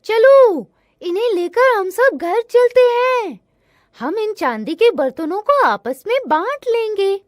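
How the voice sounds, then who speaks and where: A woman's voice: very high-pitched, expressive, slow pace, no room sound, clean studio sound, voice pushed up, full character voice. A woman voicing a cheerful, contented village man, eager and exclaiming, in a cartoon story. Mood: delighted.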